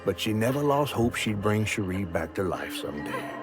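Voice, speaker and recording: An actor playing a male storyteller in a folklore video game, narrating in a measured, folksy way. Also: fairly low pitch, big pitch swings, over music. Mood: reflective storytelling. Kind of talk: scripted folktale narration.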